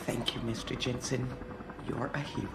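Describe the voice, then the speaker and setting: A shaken, earnest freed hostage in a game. Her voice is very low and polite, with echo and noise around it. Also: steady tone, normal speed. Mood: shaken, grateful. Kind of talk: video game voice acting. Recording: echoey game audio with clear background noise.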